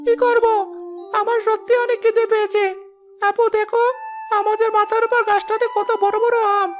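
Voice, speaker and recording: An actor plays a hungry little boy in a cartoon story. The voice is very high and childlike, pleading and insistent with an older sister. Music plays clearly behind it. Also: flat delivery, moderate pace. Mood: coaxing.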